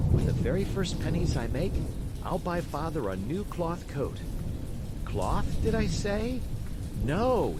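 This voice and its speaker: A man voicing an eager, impulsive and boastful wooden puppet boy in a bedtime story, talking in an excitable, dramatic way. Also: medium pitch, heavy background noise, voice pushed up. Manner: excitable, dramatic self-talk. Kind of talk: bedtime storybook character reading. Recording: steady rain sounds behind the voice.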